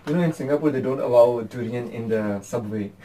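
A man's voice: medium pitch, fairly quick, mellow, recorded from a distance, slight background noise, poor audio. A young man from Pakistan chatting casually as a guest taster, often laughing and restarting his words. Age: young adult. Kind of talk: casual chat. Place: small indoor room by a window. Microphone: fixed camera at the table.

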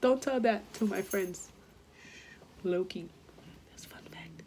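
A young woman talks straight to her camera from a small bedroom in a loose, chatty vlog. She is playful and keeps jumping up in pitch as she acts out stories. Now and then she drops to a whisper for her little secrets. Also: slow pace, noisy background. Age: young adult. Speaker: vlogger. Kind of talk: casual chat to camera.

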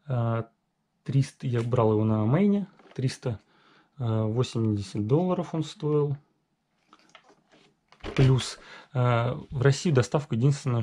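A man talks through a model truck in a workshop, stopping often to correct himself and fill pauses. His voice is mellow and of middle pitch. A faint hiss sits under the recording. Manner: halting, many fillers and self-corrections. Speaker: RC hobby reviewer. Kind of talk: casual unboxing walkthrough. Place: indoor garage workshop.

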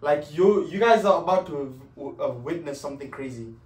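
A man's voice: fairly high pitch, animated, normal speed, a little mellow. A British man in his twenties hosting a reaction video, chatting in slangy, disbelieving tones. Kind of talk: casual chat. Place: living room sofa. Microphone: far camera. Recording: echoey room, distant camera mic.

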